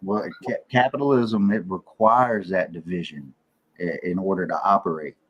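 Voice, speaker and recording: An American man with a deep, husky voice takes part in a casual political chat. He is laid-back and blunt, speaking as one of the panel. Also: moderate pace, dull audio. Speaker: political talk show panelist.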